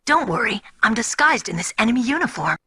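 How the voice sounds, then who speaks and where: An actress playing a young rookie soldier in a video game, headstrong and earnest, with a vulnerable streak. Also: female voice, a little high, very animated, quick pace, pushed and bright, husky, full character voice. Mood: confident, determined. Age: young adult. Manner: earnest, defiant. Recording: music behind the voice.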